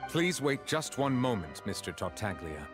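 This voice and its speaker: A man playing a stern, composed chief judge in a video game, formal, grave and measured. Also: fairly low pitch, very animated, over music.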